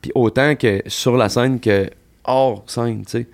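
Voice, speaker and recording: A man with a Québécois French accent, a country singer talking as a podcast guest in a relaxed, slangy way full of fillers and the odd swear. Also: mid-range voice, a little slow, gravelly. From Quebec. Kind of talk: casual podcast chat.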